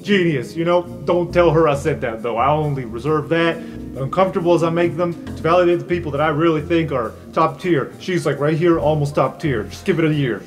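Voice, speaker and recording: A man's voice: a little high, a little fast, close to an everyday voice. Man acting brash, dejected drama professor, music behind. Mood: maudlin, sincere. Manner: theatrical, blustering, brash. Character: dejected, tipsy, dramatic male theatre professor.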